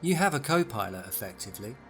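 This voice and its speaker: Brief: male promo narrator, mid pitch, upbeat explainer, light music bed. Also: big pitch swings, fast talker. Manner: enthusiastic, explanatory. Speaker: product narrator.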